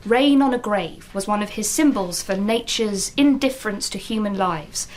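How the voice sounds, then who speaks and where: An actress playing an earnest, worried English teacher in a naturalistic British radio drama. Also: female voice, medium pitch, moderate pace, a little mellow, slight background noise, natural voice. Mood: earnest, lecturing.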